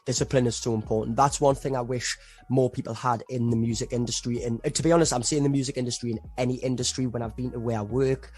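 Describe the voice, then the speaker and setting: A man's voice: medium pitch, fairly even intonation, fast talker, a little mellow, soft background music. Earnest English man, reflective. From England. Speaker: rapper guest. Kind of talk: casual interview chat.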